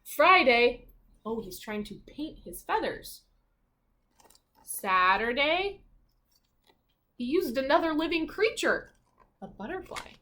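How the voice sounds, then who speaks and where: A woman teacher reading a children's storybook aloud expressively, pausing to comment and ask questions. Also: a little high, pushed and bright.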